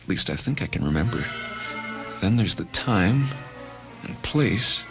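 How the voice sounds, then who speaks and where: Troubled, excitable male character, played by a male reader, halting delivery, swinging pitch, music underneath. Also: gravelly, full character voice. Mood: uncertain, urgent. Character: agitated, confused man confessing, prone to outbursts. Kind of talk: dramatised story reading.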